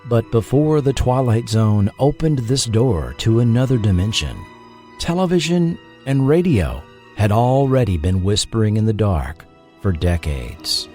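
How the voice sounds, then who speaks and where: An American man hosting a podcast with scripted documentary narration, deliberate and dramatic at the ends of phrases. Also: fairly low pitch, sing-song, mellow, soft background music. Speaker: podcast host.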